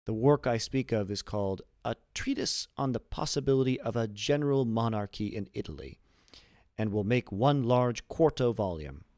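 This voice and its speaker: A man voicing a learned, principled old imprisoned abbé in a deliberate, formal, lecturing manner for an audiobook. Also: mid-range voice, expressive, a little mellow, dry recording, studio quality, lightly acted. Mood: proud, scholarly. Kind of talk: audiobook character reading.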